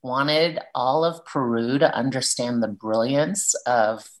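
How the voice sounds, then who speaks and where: A woman's voice: extremely low pitch, takes it slowly. A woman author talking earnestly about her children's book and reading from it aloud. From Peruvian family, living in Arizona.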